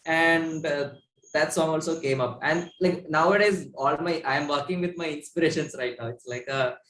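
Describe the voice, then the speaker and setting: A man's voice: on the high side, normal speed, fairly bright tone. A young man with an Indian accent, chatting casually and enthusiastically as an interview guest. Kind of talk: casual chat. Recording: echoey room.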